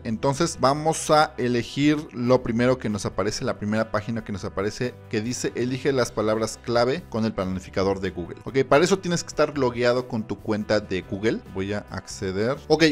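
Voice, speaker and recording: A man, presenting an informal step-by-step screen tutorial in an explanatory way. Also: medium pitch. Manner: explanatory, checks in with "ok". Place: small indoor room, seated at a computer. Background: background music.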